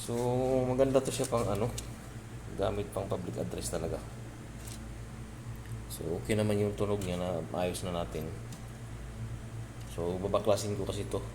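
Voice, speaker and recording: A man's voice: deep voice, normal speed, pauses often. A Filipino man giving a casual hands-on electronics tutorial, informally pointing out parts as he goes. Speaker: electronics repair presenter. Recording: clearly noisy home-made video recording.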